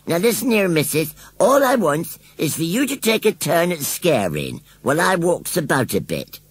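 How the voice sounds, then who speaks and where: A man voicing a grumbling rustic male scarecrow come to life, with slow country grammar. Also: a little high, sing-song, husky, no echo, voice pushed up. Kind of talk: storybook reading.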